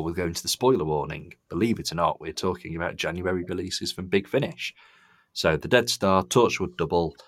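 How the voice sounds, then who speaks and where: Very low-voiced man hosting a podcast. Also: a bit bright, no echo, studio quality. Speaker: podcast host. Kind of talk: casual review chat.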